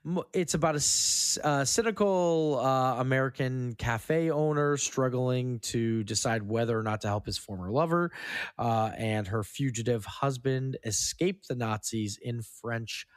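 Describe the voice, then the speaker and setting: A man's voice: medium pitch, a little slow, smooth, no echo, studio quality. A man hosting a film podcast, talking in a casual, conversational way with some offhand swearing. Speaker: podcast host.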